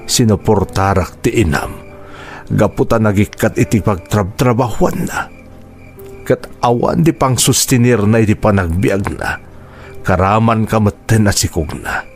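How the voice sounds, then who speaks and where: A man's voice: medium pitch, close to an everyday voice. A man playing a middle-aged, remorseful father in an audio drama, patient, earnest and pleading. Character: remorseful, patient father confessing to his son. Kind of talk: Ilocano audio drama acting.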